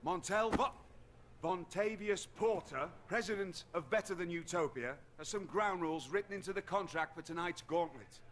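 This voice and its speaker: A man playing a nervous, officious messenger in a video game, with a halting, formal delivery. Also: sits high, fairly bright tone, slight background noise. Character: nervous, officious male messenger reading contract rules. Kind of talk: scripted video game cutscene acting.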